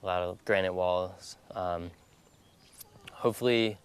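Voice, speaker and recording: A man's voice: sits low, fairly even intonation, a little slow, smooth. An American man in his twenties from North Carolina, explaining plans casually in an interview. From North Carolina, USA. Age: 20s. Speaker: expedition paddler being interviewed.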